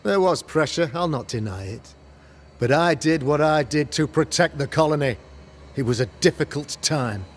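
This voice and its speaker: An actor playing a pompous, self-righteous colonial governor and preacher, speaking in formal, oratorical period language in a video game. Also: male voice, fairly high pitch, expressive, moderate pace, a little hiss or hum, close to an everyday voice. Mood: defensive. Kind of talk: theatrical period drama sermonizing.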